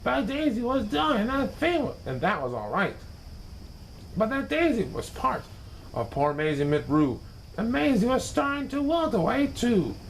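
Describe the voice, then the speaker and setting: A man reading a rhyming children's story aloud in a theatrical, animated way, as a storyteller. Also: extremely high pitch, measured pace, vocal fry, a little hiss or hum, rough recording. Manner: theatrical, acts out characters.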